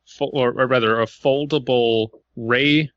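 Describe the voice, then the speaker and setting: A man's voice: mid-range voice, fairly even intonation, unhurried, a bit bright, no echo, lo-fi sound. A man hosting a podcast with casual comedic banter, profane and joking. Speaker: podcast host.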